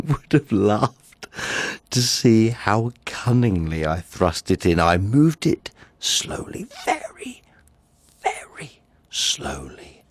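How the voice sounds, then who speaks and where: A nervous madman confessing to murder in a Gothic tale. His theatrical delivery is low and very animated, sliding between hushed secrecy and excited insistence. The sound is close and dry. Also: no echo, heavily acted. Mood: gleeful, agitated, then hushed, sly. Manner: theatrical, drops into breathy whispers, swings to excited outbursts. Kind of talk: dramatic Gothic short story reading.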